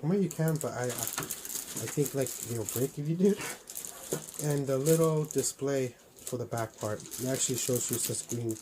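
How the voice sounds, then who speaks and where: A man's voice: mid-range voice, moderate pace, background noise. A man doing a casual unboxing review, talking informally and thinking aloud as he goes. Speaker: toy collector reviewing a helmet. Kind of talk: casual unboxing vlog chat.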